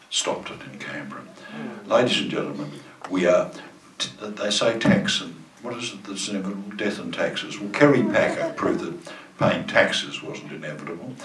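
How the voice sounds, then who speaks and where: An older man with a very deep voice, speaking in punchy, wry bursts and swinging his pitch widely. He is heard from a distance in an echoey auditorium, speaking into a table mic. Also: a bit bright. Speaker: guest speaker. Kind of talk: public talk at a forum. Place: auditorium with audience.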